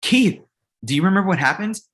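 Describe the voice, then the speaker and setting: A man plays an earnest, kindly young guy reassuring a friend. His delivery is coaxing, with a pitch that rises and falls widely, as in a live comedy script reading. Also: lively intonation, brisk, a bit bright, breathy edge, exaggerated voice. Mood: hesitant. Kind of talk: live comedy table read.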